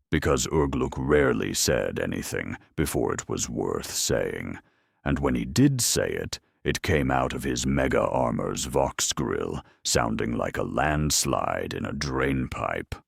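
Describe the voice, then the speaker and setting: A man narrating a scripted dramatic story in a wry, deadpan manner. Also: extremely low pitch, slow, gravelly, breathy edge, no echo, studio quality.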